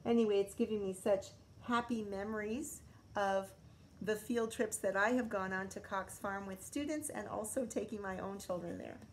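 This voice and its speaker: A woman's voice: mid-range voice, normal speed, a little hiss or hum, rough recording. A middle-aged American woman, a schoolteacher, reading a picture book aloud to young children and explaining it expressively as she goes. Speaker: elementary school teacher.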